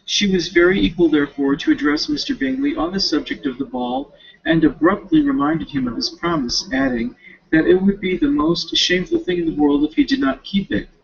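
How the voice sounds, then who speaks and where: A man with a high, lively voice reads a novel aloud, dropping in casual asides. His delivery is expressive, with the odd stumble, and there is a creaky edge to it. The recording is dull and lo-fi. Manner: expressive, stumbling over words. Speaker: student.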